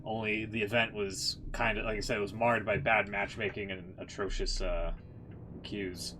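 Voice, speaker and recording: Male game streamer, lowish voice, casual commentary, informal muttered asides, plucked guitar music in background. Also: flat delivery, normal speed, sharp tone.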